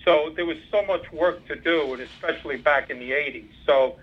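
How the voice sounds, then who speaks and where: A Cuban-born man in his sixties, a native Spanish speaker, recounts his working years in a rambling way, full of fillers and restarts. His voice sits a little high for a man. The sound comes over a dull, muffled phone line. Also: moderate pace, slightly rough. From Cuba. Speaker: former undercover FBI agent, guest. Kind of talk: interview, recounting career.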